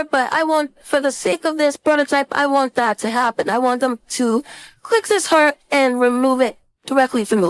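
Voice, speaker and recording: A woman instructor giving a casual screen-share software tutorial, thinking aloud informally as she demonstrates. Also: high-pitched, clean tone, no echo. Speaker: design instructor.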